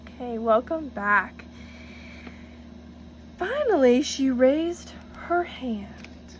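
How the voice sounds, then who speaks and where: Female read-aloud teacher, very animated intonation, addresses children directly, slight background noise. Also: high voice, drawn-out words, low-quality recording. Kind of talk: storybook read-aloud with questions to young listeners.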